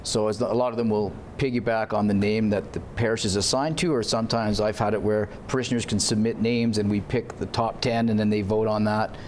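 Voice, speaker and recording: Low-voiced man, priest in an interview. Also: fairly even intonation, fairly quick, few pauses, slight background noise. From Canada. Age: 30s. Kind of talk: informal TV studio interview. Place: indoor TV studio. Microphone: lapel mic.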